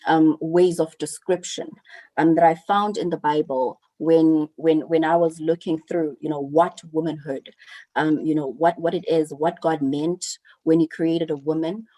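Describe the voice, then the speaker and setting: Low, warm-voiced woman guest. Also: fairly even intonation, a little slow, low-quality recording. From South Africa. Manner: thoughtful, earnest, repeats words while searching for them. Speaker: panel guest. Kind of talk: casual online panel chat.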